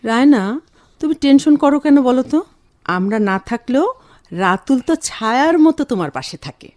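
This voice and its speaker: A woman's voice: high-pitched, very animated, moderate pace, darkish tone. A woman acting a bustling, fussing, reassuring elder sister in a Bengali radio drama. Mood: kindly.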